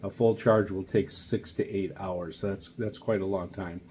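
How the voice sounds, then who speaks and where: A man hosting a casual product unboxing, reading aloud and commenting in a halting way with frequent uhs and pauses. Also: sits low, fairly even intonation, darkish tone, a little hiss or hum. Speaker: radio hobbyist reviewer, video host.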